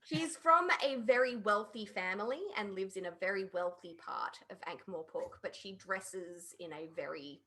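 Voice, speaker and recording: A woman with a mid-pitched voice talks casually on a podcast panel. She gives her opinions emphatically, in loose, informal conversational phrasing. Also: moderate pace, few pauses, bright, forceful tone. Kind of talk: casual opinionated review chat.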